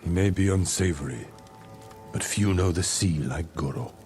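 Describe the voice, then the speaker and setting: An actor playing a stern older samurai lord in a video game, gravelly, measured and authoritative. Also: male voice, sits low, darkish tone, lightly acted. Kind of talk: cinematic video game dialogue. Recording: music under the dialogue.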